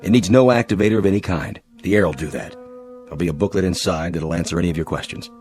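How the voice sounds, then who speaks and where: An actor in a radio drama playing a grave, sympathetic spaceship captain who is guarded and dutiful. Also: male voice, deep voice, quick pace, a little mellow, lightly acted. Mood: firm. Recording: music behind.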